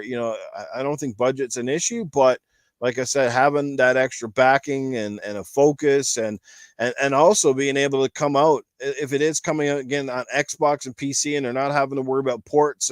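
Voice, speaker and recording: A man's voice: medium pitch, no room sound. A man hosting a casual gaming talk show, talking in a rambling, emphatic, explanatory way. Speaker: podcast host.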